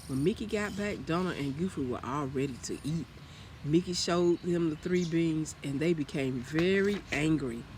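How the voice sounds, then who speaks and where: A man reading a children's story aloud as storyteller, in an expressive manner. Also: on the high side, normal speed, a little hiss or hum. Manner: expressive, with acted character lines.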